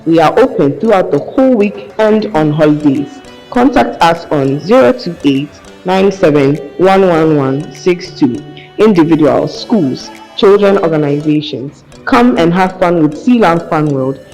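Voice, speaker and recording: A man's voice: fairly high pitch, expressive, a little slow, darkish tone, rough recording. A man reading a scripted radio-style advertisement in an upbeat promotional tone. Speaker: advert voice-over. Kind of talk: scripted ad read. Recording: music bed behind voice.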